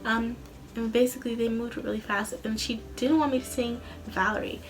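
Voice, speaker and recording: A young woman telling a story in a casual, rambling vlog style, animated, with a slightly tired, tense voice. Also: on the high side, fairly quick, music in the background, rough recording. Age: young adult. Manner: animated, rambling, acts out quoted dialogue. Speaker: student singer and vlogger.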